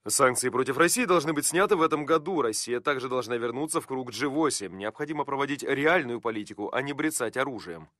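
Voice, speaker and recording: Brisk, dry male news voice-over. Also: medium pitch, animated, a bit bright, dry recording. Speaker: voice-over reader of quotes. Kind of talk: scripted news voice-over reading.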